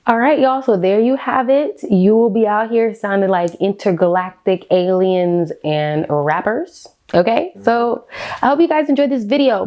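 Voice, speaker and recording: A woman's voice: medium pitch, a little mellow. Chatty American woman giving a casual tutorial. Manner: informal, slangy, chatty. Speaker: beauty vlogger giving a tutorial. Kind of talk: casual step-by-step tutorial chat.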